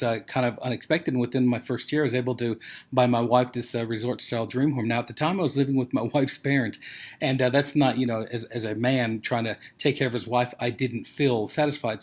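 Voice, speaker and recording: An American man presenting in a casual motivational sales talk, with a conversational, rambling storytelling manner. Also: medium pitch. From United States. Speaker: presenter of a company overview.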